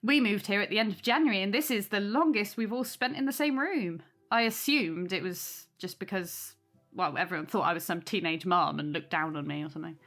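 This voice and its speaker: A woman's voice: mid-range voice, a little fast, close to an everyday voice. Welcoming young mother, acted by a woman, music behind. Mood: candid, gentle. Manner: warm, sincere, chatty. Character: welcoming young single mother hosting her neighbours. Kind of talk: audio drama acting.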